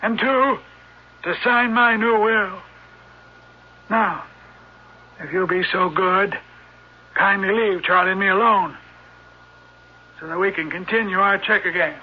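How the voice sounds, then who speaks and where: An actor playing a stern, wealthy old uncle with a husky voice in a 1970s radio drama. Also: male voice, high-pitched, a little slow, pauses often. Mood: stern, resolute. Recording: muffled old radio broadcast.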